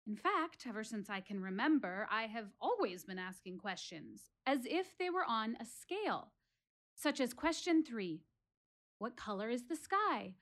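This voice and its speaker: A young woman played by an actress searches her life for answers in a stage-style audio monologue. Her voice is high and swings widely in pitch. She delivers each thought with deliberate, anxious, questioning drama. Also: very animated, a bit bright, full character voice. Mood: earnest, reflective. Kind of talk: theatrical one-woman-show monologue, audio play.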